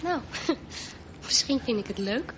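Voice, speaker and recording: Muffled sound with clear background noise, from a radio play. a teenage girl with a high voice, frank, playful and smitten. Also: lively intonation, a little slow. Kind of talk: radio drama acting.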